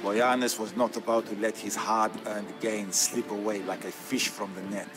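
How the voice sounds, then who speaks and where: A male actor plays a solemn Byzantine Greek man recounting his family's wars. His voice sits low, and he speaks in a measured, theatrical manner, with weighty gravity. It is scripted storyline narration for a strategy game, set over clearly audible music. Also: fairly even intonation, close to an everyday voice. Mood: grave.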